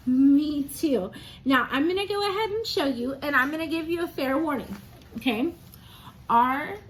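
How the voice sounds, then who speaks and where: A woman reads aloud to young children as a teacher, in a sing-song, expressive way, pausing to prompt answers from her listeners. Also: high-pitched, a little hiss or hum, low-quality recording.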